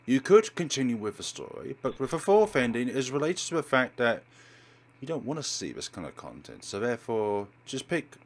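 A man's voice: medium pitch, sing-song, moderate pace. A man hosting a let's play, reading game text aloud and commentating with wry, theatrical asides. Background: game music.

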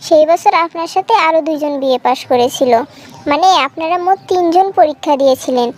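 Woman voicing a very high-pitched sassy village girl. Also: fairly quick, darkish tone, natural voice. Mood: cheeky, argumentative. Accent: Bangladeshi. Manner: sassy, argumentative back-talk. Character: cheeky, defiant young village girl who keeps failing exams. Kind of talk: amateur cartoon dialogue.

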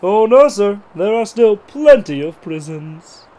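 An actor playing an earnest charity collector in an audio play, formal and deferential. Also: male voice, high-pitched, very animated, slow pace, mellow, heavily acted, rough recording. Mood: polite regret.

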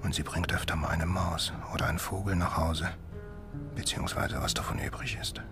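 An actor playing a troubled doctor and father who narrates his story in a radio drama, subdued and measured. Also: deep voice, steady tone, over music, natural voice. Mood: hushed dread.

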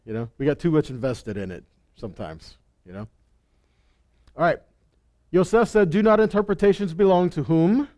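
A man's voice: a little high, expressive, moderate pace, long pauses, warm tone. A man in his thirties teaching a Bible lesson on stage with a headset mic, talking in a casual way, asking questions and acting out quoted lines. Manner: conversational, asks the room questions, acts out quotes. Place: stage with purple lights.

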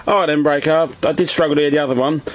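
A man's voice: fairly high pitch, fairly even intonation, very fast. A man making casual radio contact from home, using jargon and often repeating "Roger". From England. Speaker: amateur CB radio operator filming his contacts. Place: indoors at a home radio set. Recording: faintly noisy phone video beside radio.

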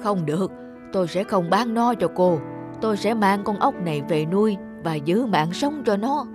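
An actress playing a kind, lonely, poor old village woman in an animated folk tale, plaintive and soft-hearted. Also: medium pitch, normal speed, lightly acted. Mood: firm, concerned. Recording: music under the voice.

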